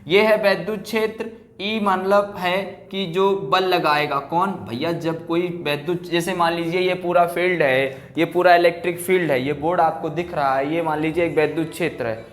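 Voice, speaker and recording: Male physics teacher, higher-pitched voice, repetitive classroom dictation with check questions, roomy echo. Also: fairly even intonation, moderate pace, hardly any pauses. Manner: repeats key phrases and asks check questions. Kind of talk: classroom lecture at a board, explaining and dictating. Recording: echoey room.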